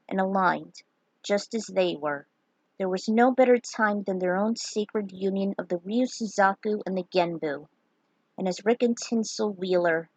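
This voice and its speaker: Woman author reading her own story, earnest and unpolished with small slips, plain medium pitch, dry sound. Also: dry recording, low-quality recording. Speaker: fan fiction author. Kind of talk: reading her own story aloud, then a casual afterword.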